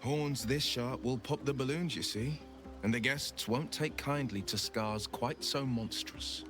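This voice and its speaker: A man playing a melancholy, self-deprecating horned gentleman in a video game, speaking with courteous, wry eloquence. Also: mid-range voice, unhurried, over music, natural voice. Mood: rueful. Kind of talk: video game character acting.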